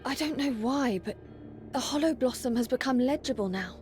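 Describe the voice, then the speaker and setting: A woman voicing a dutiful young warrior maiden in a video game, speaking formally and earnestly in a measured way. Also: sits high, animated, darkish tone, faint music behind. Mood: earnest, troubled. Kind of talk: video game cutscene acting.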